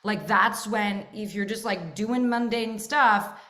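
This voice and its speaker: A young woman from San Francisco, a guest chatting casually in an interview, animated and full of fillers. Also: medium pitch, slow pace. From San Francisco, USA. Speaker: guest, actress and content creator.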